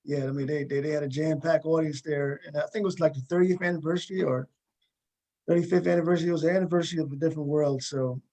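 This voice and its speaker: A man with a voice a little higher than average hosts a talk show. He interviews casually and conversationally, doubling back on words and phrases as he goes. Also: fairly even intonation, quick pace, mellow.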